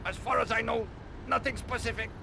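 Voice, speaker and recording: A scared enemy soldier in a video game, pleading and eager to submit. The voice is very high and creaky, over clear background noise. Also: male voice, fairly even intonation, fairly quick, full character voice. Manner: pleading, hesitant. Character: frightened male enemy soldier, nervous and submissive.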